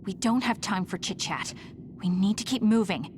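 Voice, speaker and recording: A woman's voice: mid-range voice, moderate pace, background noise, lightly acted. A woman playing a brusque, determined young fighter in an anime-style video game, curt and firm.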